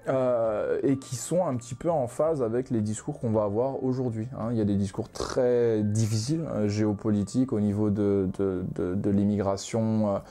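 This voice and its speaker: A young man from Ghana with a mellow, darker voice, chatting easily as a guest. He talks in loose, meandering stretches, full of small pauses and restarts. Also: on the low side. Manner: relaxed, many hesitations and restarts. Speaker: manga author guest. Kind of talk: casual podcast conversation.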